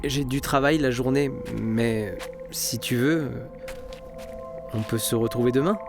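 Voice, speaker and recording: Guy acting a shy young man in love, kinda awkward, normal mid pitch, audio drama w music behind. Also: a little slow, natural voice. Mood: awkward, gentle. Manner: hesitant, awkward. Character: smitten, shy young man on holiday at grandmother's. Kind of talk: French audio story acting.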